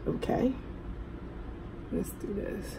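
A middle-aged woman with a hoarse voice, chatting casually to viewers as a mukbang host at a table. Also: very slow. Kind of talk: casual eating vlog chat. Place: indoors at a table at home. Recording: clearly noisy room recording.

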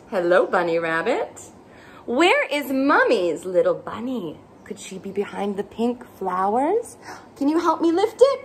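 High, sing-song young woman reading to toddlers. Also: slight background noise. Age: young adult. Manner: exaggerated, playful, gasps and questions. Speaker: children's story time host. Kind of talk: animated picture-book read-aloud for toddlers. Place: small indoor playroom with bookshelves.

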